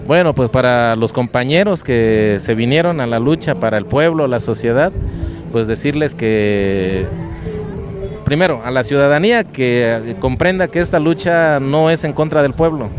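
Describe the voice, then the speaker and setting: A man's voice: medium pitch, over music. A man with a Mexican accent, a teacher giving an earnest interview at a street protest, speaking with many "eh" fillers. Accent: Mexican Spanish. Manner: earnest, measured, with frequent filler "eh" and "pues".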